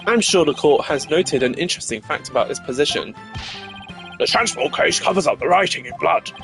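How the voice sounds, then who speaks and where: A man's voice: fairly high pitch, animated, a little fast, gravelly, a bit bright. A man voicing an earnest, confident male defense attorney in a courtroom game, speaking assertively. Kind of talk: dramatic visual novel courtroom reading. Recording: game music behind the voice.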